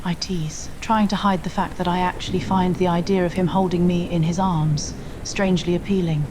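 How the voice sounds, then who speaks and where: A woman's voice: on the low side, flat delivery, normal speed. A woman narrating a scripted romantic story in the first person. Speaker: audio roleplay narrator. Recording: faint rain noise bed. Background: rain ambience.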